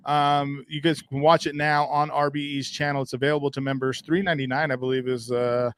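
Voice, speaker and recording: A man's voice: mid-range voice, level tone, a little fast, smooth, dry recording. A man hosting a battle rap stream, upbeat, plugging channels and asking his guest questions. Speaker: host. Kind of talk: livestream podcast hosting.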